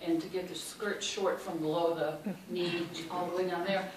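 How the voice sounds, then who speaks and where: A woman's voice: on the low side, fairly even intonation, moderate pace. A woman with a Chicago accent, telling personal stories on a panel with lots of ums and uhs. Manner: warm and anecdotal, many ums and uhs. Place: large hall. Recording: echoey hall, mic far off, noisy.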